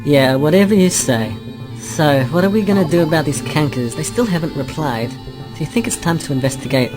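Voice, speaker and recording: A woman's voice: low voice, lively intonation, a little fast, music in the background, rough recording. A woman playing an eager, bossy young graduate space pilot in a science fiction audio drama, exclaiming and scolding. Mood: impatient.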